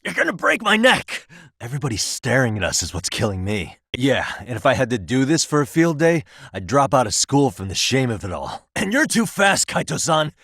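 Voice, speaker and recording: A man's voice: mid-range voice, big pitch swings, fairly quick, fairly bright tone, dry recording. An actor in his thirties with an American accent playing an easygoing, wry private detective in casual video game dialogue. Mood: exasperated, straining. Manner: casual, dry wry humour.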